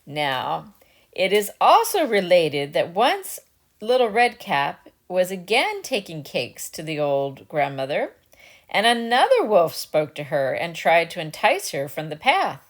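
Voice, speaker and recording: Woman reading fairy tales out loud, takes it slow, really animated pitch, dramatic storyteller style. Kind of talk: dramatic scripted fairy tale narration.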